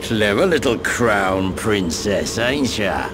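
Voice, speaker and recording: An actor playing a wry, roguish middle-aged soldier in a video game, with a British accent and a casual sardonic drawl. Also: male voice, fairly low pitch, lively intonation, slow pace, background noise.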